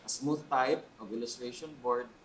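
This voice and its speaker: A man with a Visayan Filipino accent giving informal, halting instruction as a guest art teacher, switching between languages. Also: medium pitch, a little hiss or hum, rough recording.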